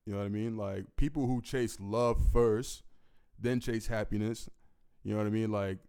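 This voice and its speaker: Deep gravelly young man, smooth tone. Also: fairly even intonation, normal speed, darkish tone. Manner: laid-back, profane, keeps asking "you know what I mean"; commanding, projecting delivery. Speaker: musician answering fan questions. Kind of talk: casual solo Q&A.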